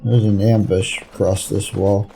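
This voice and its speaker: A man's voice: on the low side, flat delivery, a little slow, creaky, mellow, slight background noise, rough recording. A man giving casual gameplay commentary, laid-back, muttering short asides as he plays.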